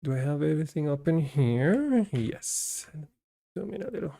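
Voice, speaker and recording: A man's voice: a little high, big pitch swings, normal speed, vocal fry, warm tone. A man from Costa Rica hosting a live coding stream, talking through his work and chatting with viewers. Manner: talks through code as he works, chatty with viewers.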